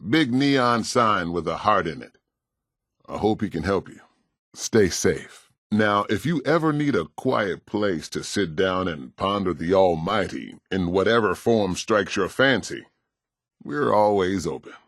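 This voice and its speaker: Low-voiced American small-town pastor. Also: male voice, animated, measured pace, no echo, studio quality, exaggerated voice. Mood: kindly, calm. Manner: gentle, folksy, plain-spoken. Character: kindly, world-weary small-town male pastor. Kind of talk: video game dialogue.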